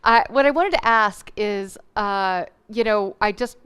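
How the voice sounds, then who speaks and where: A woman in the audience who speaks up loudly to ask a long question at a museum talk. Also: mid-range voice, a little slow, clean tone. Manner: loud, speaks up without a mic. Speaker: audience member asking a question.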